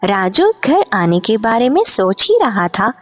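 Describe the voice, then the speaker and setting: A woman's voice: medium pitch, sing-song, a little slow, soft background music. A woman reading scripted narration for a cartoon story, as the storyteller.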